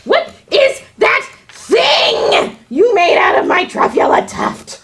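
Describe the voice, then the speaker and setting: Shrill, bossy old forest creature. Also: female voice, sing-song, slow, background noise, heavily acted. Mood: indignant, demanding. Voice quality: sharp. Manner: bossy, loudly scolding. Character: Lorax, shortish oldish bossy mossy forest creature, indignant. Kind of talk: children's puppet show, rhyming storybook.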